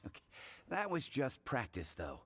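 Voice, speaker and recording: An actor playing a friendly, seasoned bartender in a video game, talking in an affable, instructive way. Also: male voice, medium pitch, huge pitch swings, unhurried, a bit bright, heavily acted. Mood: encouraging. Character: friendly, seasoned male bartender mentoring beginners.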